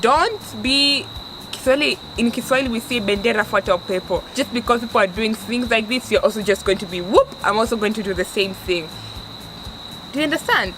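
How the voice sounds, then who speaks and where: Young Tanzanian-accented woman in her twenties, earnest vlog advice, voice a little high, steady noise underneath. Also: fairly quick. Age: 20s. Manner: earnest, restarts often, stresses words for emphasis. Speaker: vlogger.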